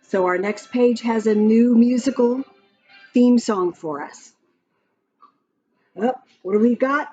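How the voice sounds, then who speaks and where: A woman reading a rhyming picture book aloud to young children in an expressive, sing-song way, with counting and little asides. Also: on the high side, takes it slowly, pauses often. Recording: muffled lo-fi audio with background music. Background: music from a picture-book app.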